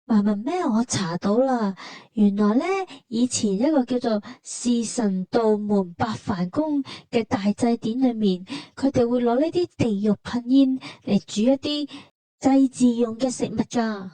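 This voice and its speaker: A woman speaks Hong Kong Cantonese in a fairly high, very mellow voice. She narrates a trip conversationally, explaining each thing like a storyteller. The recording is close and very dry. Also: unhurried, dry recording. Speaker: travel vlog narrator. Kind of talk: conversational scripted travel narration.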